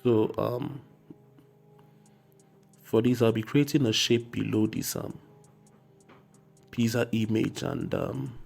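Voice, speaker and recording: Man, tutorial narrator, gravelly and hoarse with a cold, hesitant step-by-step delivery, faint music behind. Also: mid-range voice, takes it slowly, long pauses, darkish tone. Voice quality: slightly hoarse. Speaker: graphic design tutorial host. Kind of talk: casual software tutorial narration.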